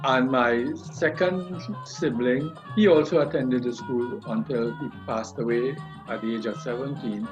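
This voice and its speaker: An older man with a Trinidadian accent, telling his life story in an interview, hesitant and halting. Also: mid-range voice, music in the background, low-quality recording. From Trinidad and Tobago.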